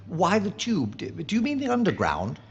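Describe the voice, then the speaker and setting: An actor playing a wry, gallant gentleman investigator in an audio drama, with a polite, hesitant manner. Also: high voice, wildly swooping pitch, normal speed, creaky, a little hiss or hum, full character voice. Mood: concerned.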